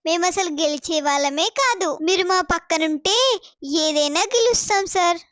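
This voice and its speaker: Woman doing a bold, high-pitched feisty young girl. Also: normal speed, fairly bright tone, no echo, studio quality. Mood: sincere. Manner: boastful, outspoken. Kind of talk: animated children's moral story dialogue.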